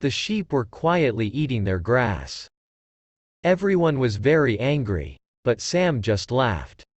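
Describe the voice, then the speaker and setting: Lively male children's story narrator. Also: medium pitch, takes it slowly, no echo.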